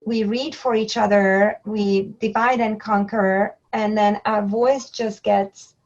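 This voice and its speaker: A woman whose first language is not English, a guest on an education podcast, speaking in a reflective, measured way. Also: mid-range voice, fairly even intonation, lo-fi sound. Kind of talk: podcast interview.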